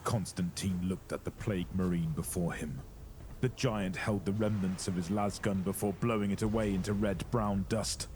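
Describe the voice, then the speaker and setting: A man's voice: low-pitched, steady tone, moderate pace, darkish tone, slight background noise. A man narrating a horror audio drama in a grave, ominous, scripted dramatic storytelling style.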